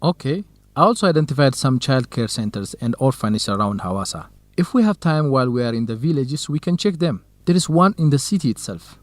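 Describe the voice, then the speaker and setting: Actor as a young guy, kind and modest, mid-pitched voice, simple lines, radio drama, really dry recording. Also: lively intonation, no echo, lightly acted. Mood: gentle, helpful. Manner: measured, simple phrasing for English learners. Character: kind, modest young Ethiopian male researcher. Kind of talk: scripted educational radio drama dialogue.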